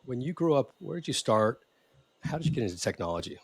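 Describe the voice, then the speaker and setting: A man's voice: medium pitch, very animated, fast talker, breathy edge, no room sound. Brief: upbeat male podcast host with a dry, close mic sound. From California, USA. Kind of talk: podcast interview.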